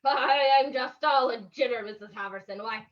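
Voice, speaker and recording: A woman's voice: a little high, normal speed, lo-fi sound, close to an everyday voice. A woman playing a nervous, stammering male night watchman in an old-time radio drama, giggling with fright. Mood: jittery, nervous.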